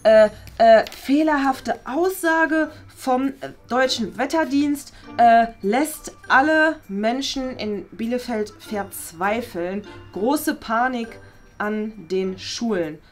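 Woman as keen student journalist, high voice, stumbling enthusiasm, children's skit. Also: takes it slowly, soft background music. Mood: thrilled. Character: eager, ambitious schoolgirl aspiring to be a journalist. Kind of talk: children's comedy skit acting. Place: small classroom.

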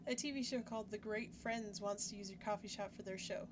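A woman's voice: mid-range voice, steady tone, a little fast. A young woman giving casual, self-mocking commentary while playing a game, thinking aloud as she goes. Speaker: gaming YouTuber. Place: home setup, webcam in corner. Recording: loud background noise.

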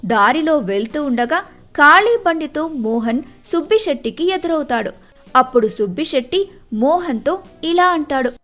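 A woman's voice: sits high, lively intonation, normal speed, fairly bright tone, faint music behind. A woman reading scripted narration for a moral tale as the storyteller.

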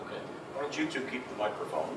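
A male moderator keeps things moving, brisk and jokey, talking fast in a lowish voice. He is heard from afar in a roomy hall, with room noise underneath. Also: big pitch swings, recorded from a distance. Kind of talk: moderating a panel. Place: large lecture hall with audience.

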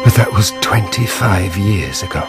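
An actor playing a shattered, disgraced prosecutor, dazed and bitter, with a husky, breathy voice and halting delivery in a radio drama. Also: male voice, fairly low pitch, over loud music, close to an everyday voice. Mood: stunned. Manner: halting, distracted.

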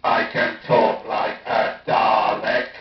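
A man voicing a menacing, emotionless robotic alien cyborg in a flat, staccato way through a ring modulator. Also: lowish voice, slow, soft background music, some chatter behind, pitched below the performer's own voice. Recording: dull, roomy, distant through voice changer.

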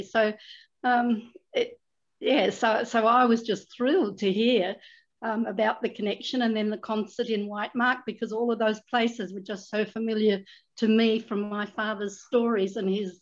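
Older woman, eager, dull call audio. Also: mid-range voice. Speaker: audience member. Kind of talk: casual comment on a video call. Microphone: video call.

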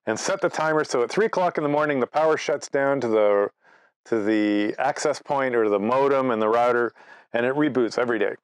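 Male presenter, 60s. Conversational explainer, medium pitch, dry close sound. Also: a little fast, smooth, no echo, low-quality recording. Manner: conversational, explains through step-by-step examples and anecdotes. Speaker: presenter, amateur radio operator. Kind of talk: informal instructional presentation. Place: outdoors in front of leafy trees.

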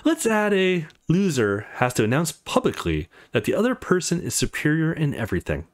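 A man's voice: fairly high pitch, huge pitch swings, moderate pace, voice pushed up. A man voicing a proud, competitive young woman who holds grudges, cool and clipped, in a visual novel. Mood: challenging.

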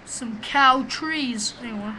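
A man with a small, high voice giving rambling, profane, excitable commentary while playing a game, recorded on a webcam microphone. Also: takes it slowly. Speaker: gamer doing a let's play. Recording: noisy home recording.

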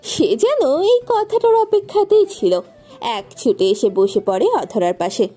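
A woman's voice: very high-pitched, huge pitch swings, moderate pace, warm tone, faint music behind. A woman reading a scripted romantic story as its narrator.

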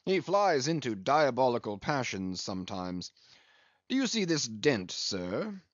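A man voicing an imperturbable, pompous English ship's surgeon with a cool, formal, measured delivery, in a classic novel audiobook. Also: mid-range voice, big pitch swings, no echo, dull audio. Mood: deadpan.

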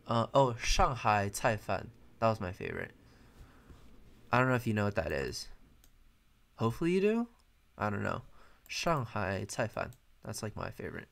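Young American chess streamer with Mandarin as his first language; relaxed live commentary, talks through moves and chats with viewers. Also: on the low side, moderate pace, gravelly, long pauses. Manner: thinks aloud, chatty, self-correcting. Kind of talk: casual live commentary while playing. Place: bedroom at home, indoors.

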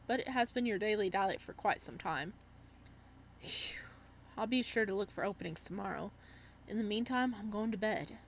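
A woman giving casual let's-play commentary and reading game text aloud, with an excitable, reactive manner. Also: medium pitch, slight background noise. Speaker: gaming streamer.

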